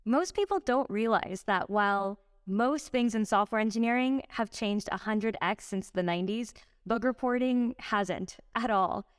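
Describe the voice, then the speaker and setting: A woman's voice: on the high side, a little slow, clear, resonant tone, no room sound, studio quality. A woman, a startup co-founder, giving fluent, articulate answers in a podcast interview. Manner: articulate, fluent explanations with analogies.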